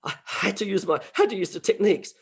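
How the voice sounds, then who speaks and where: An Australian man, a martial arts instructor, telling stories in a relaxed interview over a video call, full of sound effects and restarts. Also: high-pitched, fast talker, gravelly, breathy edge. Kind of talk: casual interview storytelling.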